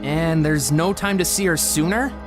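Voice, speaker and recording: A man's voice: on the high side, moderate pace, over loud music, pitched above the performer's own voice, natural voice. A man voicing an anxious, distrustful protagonist in a sci-fi visual novel, asking wary questions. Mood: wary questioning. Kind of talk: visual novel dialogue read aloud.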